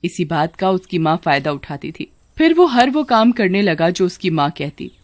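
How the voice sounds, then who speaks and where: A woman's voice: lowish voice, very animated, normal speed, no room sound. Dry-sounding woman narrating a children's story.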